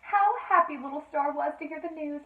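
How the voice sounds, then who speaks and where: A woman reading a children's story aloud in an expressive teacherly style, with playful asides to her listeners. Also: high voice, brisk. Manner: addresses listeners with playful questions, dramatic emphasis. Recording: roomy, echoey, narrowband sound.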